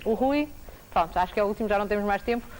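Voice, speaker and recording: A woman with a European Portuguese accent hosting a live studio TV show, asking questions in a brisk, prompting way. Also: mid-range voice, a little mellow, low-quality recording. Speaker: TV show host. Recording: old VHS TV recording, faint hiss.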